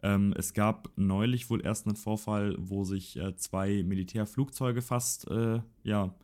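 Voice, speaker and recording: Low-voiced young man rambling. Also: steady tone, measured pace, a little mellow. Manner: rambling, self-correcting. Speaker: podcast co-host. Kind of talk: casual political chat.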